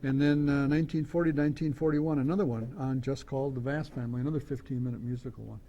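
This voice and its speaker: A man interviewing a guest informally at a club meeting, haltingly working from notes and rephrasing his questions. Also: steady tone, mellow, highs cut off. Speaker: interviewer at a radio history club. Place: club meeting before a small audience. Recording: rumbly room noise behind the voice.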